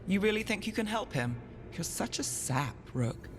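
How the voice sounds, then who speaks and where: A woman's voice: medium pitch, very animated, normal speed, slight background noise, close to an everyday voice. A woman playing a wry, sceptical companion in a video game, with dry, teasing delivery. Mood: resigned, sceptical. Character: wry, world-weary female companion guarding a door. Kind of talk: video game character acting. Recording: long reverb under clear music.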